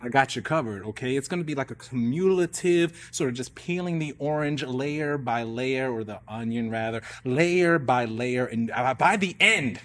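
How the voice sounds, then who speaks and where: A man's voice: medium pitch, moderate pace, hardly any pauses, fairly bright tone. A man hosting a radio show live, talking in a theatrical, emphatic, playful and slangy improvised monologue. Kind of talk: animated improvised monologue and storytelling to a live audience.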